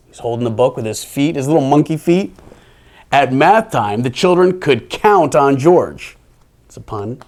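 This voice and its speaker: Animated male storyteller with swooping pitch. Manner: playful, expressive, with dry deadpan asides. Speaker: storyteller reading a children's picture book. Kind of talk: animated storybook reading with wry asides.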